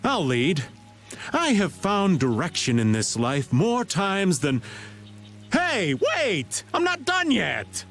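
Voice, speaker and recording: A carefree middle-aged video game rambler who fancies himself a philosopher. His drawl is theatrical and leaps wildly up and down, on the higher side for a man. Music plays behind him. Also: wildly swooping pitch, slow, fairly bright tone, heavily acted. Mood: indignant. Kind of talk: English video game voice acting.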